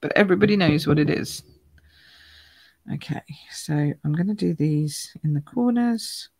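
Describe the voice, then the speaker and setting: A woman with a croaky voice, chatting through a live card-making demonstration at her craft table, greeting viewers by name as she stamps and colours. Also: low voice, expressive, moderate pace, a little mellow, no echo. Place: home craft room.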